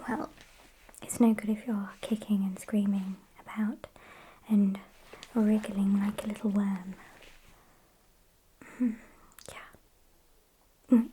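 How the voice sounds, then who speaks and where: A woman plays a sassy British vampire in an ASMR roleplay, whispering softly and very unhurriedly. Her voice is mellow and sits fairly high, with smug, mock-polite condescension as she toys with her prey. There is noticeable hiss behind her. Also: low-quality recording. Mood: sassy, self-satisfied. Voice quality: soft, breathy whisper.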